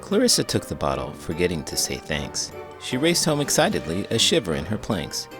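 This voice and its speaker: Low, animated man reading a storybook. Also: a little fast, music in the background. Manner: theatrical, expressive. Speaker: host, children's book reader. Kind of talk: animated storybook read-aloud narration.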